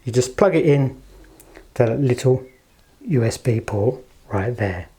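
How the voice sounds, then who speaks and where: A man's voice: mid-range voice, expressive, unhurried, warm tone, slightly rough. A man giving a casual product demonstration in a polite, chatty way, recorded on a handheld camera as he presents. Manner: polite, chatty, fond of understated superlatives. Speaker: product presenter for an audio shop. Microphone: handheld camera held in one hand.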